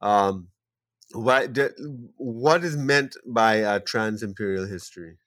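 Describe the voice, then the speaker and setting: A man's voice: lowish voice, slow, fairly bright tone, no room sound. Hesitant male podcast host, dry sound. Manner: hesitant, many fillers and restarts. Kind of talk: interview podcast.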